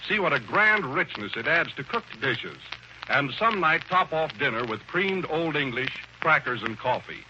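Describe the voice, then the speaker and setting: A male radio announcer with a fairly high voice delivers a polished, persuasive 1930s commercial read. The old broadcast recording is muffled and clearly noisy. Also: very animated, moderate pace.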